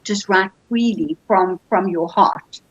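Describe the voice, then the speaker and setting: Husky woman hosting on a dull call. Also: medium pitch, slow pace, dry recording. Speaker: host of a writers' group seminar. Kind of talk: conversational interviewing. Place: online video call. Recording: dull, lo-fi video-call audio.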